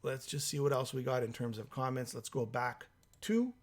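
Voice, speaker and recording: Host, male, hoarse from a cold, reading viewer comments on a live stream, halting delivery, mid pitch. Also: expressive. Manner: halting, thinking aloud, many fillers. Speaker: livestream host.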